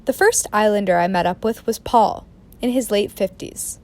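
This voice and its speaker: Woman acting an earnest undercover reporter, medium pitch. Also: normal speed, a little mellow, natural voice. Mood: grave, intriguing. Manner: probing, serious. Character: earnest, suspicious undercover female investigative reporter. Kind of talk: documentary-style scripted narration in radio play.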